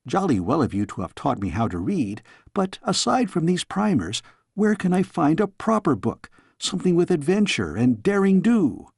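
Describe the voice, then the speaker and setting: A man's voice: fairly high pitch, very animated, moderate pace, vocal fry, a little mellow, husky, no room sound, studio quality, pitched above the performer's own voice, full character voice. A man voicing a headstrong, inquisitive young princess in a storybook, pert and eager. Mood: eagerly curious. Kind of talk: storybook character voice.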